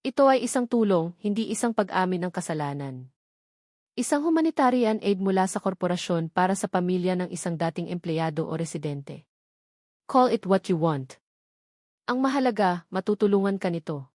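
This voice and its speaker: Audio drama villain, female reader: wealthy man, cold and taunting, soft-spoken menace, dry close sound. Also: mid-range voice, animated, moderate pace, no room sound, close to an everyday voice. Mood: coaxing, cold. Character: cold, taunting rich male villain, faux-pitying.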